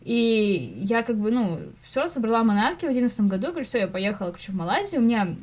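Youngish woman podcast guest, talks super fast, slangy casual storytelling, acts out reactions. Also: a little high, lively intonation, a little mellow. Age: late 20s to early 30s.

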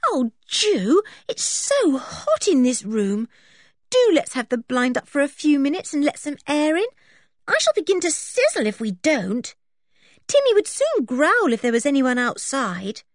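A woman voicing a lively young girl in a storybook, plaintive and coaxing in a good-natured way. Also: high voice, a little slow, no echo, clean studio sound, pitched above the performer's own voice, heavily acted.

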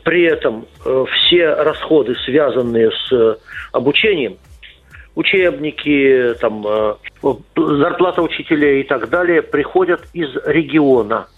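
A middle-aged man, a guest on a radio talk show, explaining at a measured pace with frequent pauses and fillers. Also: fairly high pitch, slightly rough. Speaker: guest lawmaker. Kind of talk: radio interview answers. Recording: tinny phone-like radio line.

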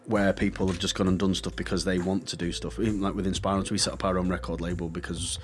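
A man with a very low voice and a Mancunian accent talks at length as an interview guest, rambling and correcting himself as he goes. Faint music plays in the background. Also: little pitch movement, quick pace. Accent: Mancunian English. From Manchester, England. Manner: chatty, rambling, self-correcting. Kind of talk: casual interview chat.

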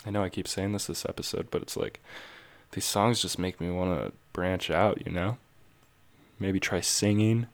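A man with a very low, deep voice chats casually between tracks on his music show. He rambles and makes light of himself, keeping the talk loose and easy. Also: moderate pace. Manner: relaxed, rambling, self-joking. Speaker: radio show host.

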